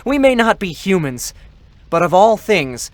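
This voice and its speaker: A man's voice: sits high, sing-song, a little slow, no echo. An actor playing a boyish male android with a mocking, bitter edge in a dramatic game story reading. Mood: manic bitterness.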